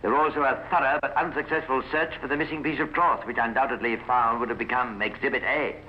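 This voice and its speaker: A man's voice: mid-range voice, steady tone, quick pace. Wry radio crime narrator, male. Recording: muffled, hissy 1950s radio recording.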